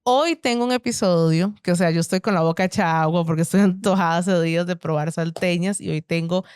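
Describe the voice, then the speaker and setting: Young woman hosting a podcast; somewhat low voice, dry close sound, enthusiastic and talkative. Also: brisk, a little mellow, clean tone, dry recording. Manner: chatty and enthusiastic, many "o sea" and laughs. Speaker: podcast host. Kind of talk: casual interview chat.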